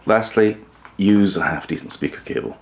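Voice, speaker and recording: A man presenting an informal explainer video in a measured, matter-of-fact way. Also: lowish voice. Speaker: host of a hi-fi upgrade video channel.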